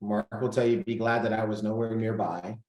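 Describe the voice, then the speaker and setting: A man's voice: fairly low pitch, fairly even intonation, fairly quick, a little mellow, reverberant. A man hosting a casual group coaching call over video, upbeat, thinking aloud and reading out figures. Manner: upbeat, reads out numbers, thinks aloud while clicking.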